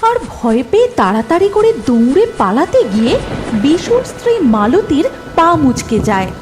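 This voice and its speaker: High, animated woman storyteller. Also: normal speed. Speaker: narrator. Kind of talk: dramatic scripted horror cartoon narration. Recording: loud background music.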